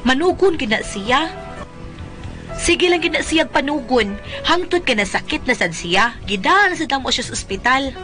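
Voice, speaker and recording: An actress playing an exasperated, nagging grown daughter in a Bisaya comedy radio drama, with a Filipino accent. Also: female voice, high voice, expressive, moderate pace, sharp tone, soft background music. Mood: weary, complaining. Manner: exasperated, scolding. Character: exasperated, nagging adult daughter of a fretful old father.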